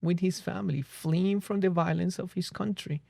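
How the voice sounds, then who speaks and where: A man's voice: high-pitched, steady tone, moderate pace, vocal fry, darkish tone, no room sound, studio quality. A man with a Colombian accent, a native Spanish speaker, telling his story reflectively as a podcast guest. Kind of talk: personal storytelling in a conversation. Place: small podcast studio. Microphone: close boom-arm studio microphone.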